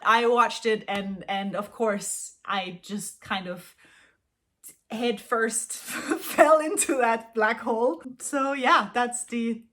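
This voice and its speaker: A woman's voice: fairly high pitch, slow, fairly bright tone. Giggly woman. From Austria. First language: Austrian German. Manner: chatty, giggly, self-deprecating, lots of fillers. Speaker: language-learning vlogger. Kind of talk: casual chat to camera.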